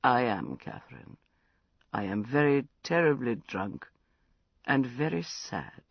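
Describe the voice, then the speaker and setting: A man's voice: low voice, wildly swooping pitch, creaky, slightly rough, no room sound, exaggerated voice. A man playing a drunk, lovesick prince. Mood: mournful. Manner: slow, slurred, drawn-out pleading. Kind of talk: audiobook character acting. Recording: dull lo-fi recording.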